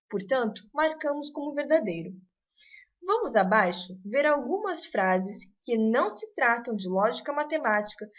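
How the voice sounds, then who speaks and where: A woman teaching a recorded math lesson. Her voice sits somewhat high and moves with a lively, varied melody. She explains patiently and clearly, step by step.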